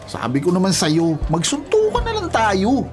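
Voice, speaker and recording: An actor with a Filipino accent playing a pushy, jealous, hot-headed young suitor, confrontational, in a radio drama. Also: male voice, high-pitched, wildly swooping pitch, normal speed, a little mellow, faint music behind. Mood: angry, jealous.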